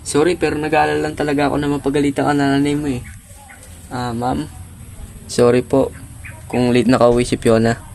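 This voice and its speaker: A man plays a courteous teenage boyfriend with a medium-pitched, even voice. He is respectful and apologetic, in plain amateur radio drama acting. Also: brisk, creaky, pauses often, a little hiss or hum, natural voice. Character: polite, apologetic teenage boyfriend, a varsity athlete.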